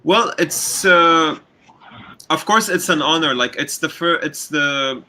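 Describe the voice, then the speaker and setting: A man from Lebanon, a filmmaker, speaking slowly and hesitantly on a panel, often repeating words. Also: on the high side, pushed and bright, slight background noise. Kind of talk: panel discussion.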